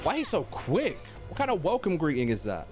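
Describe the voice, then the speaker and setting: Dude reacting to a game on stream, chatty and slangy, really animated intonation. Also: male voice, fairly high pitch, a little fast. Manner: informal, slangy, chatting to viewers. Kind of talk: casual live stream reaction commentary. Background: game music.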